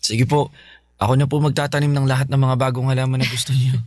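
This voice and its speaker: A man's voice: mid-range voice, level tone, quick pace, a little mellow, dry recording, studio quality, natural voice. A man playing a respectful, playful, sickly teenage son in a Filipino radio drama. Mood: polite, eager. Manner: respectful, boyish, pleading.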